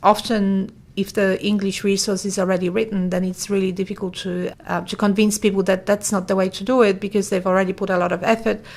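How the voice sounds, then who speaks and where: A woman's voice: medium pitch, little pitch movement, brisk, hardly any pauses, darkish tone. A woman whose first language is Polish, explaining things plainly in a conversational interview. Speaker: interviewee, translation specialist.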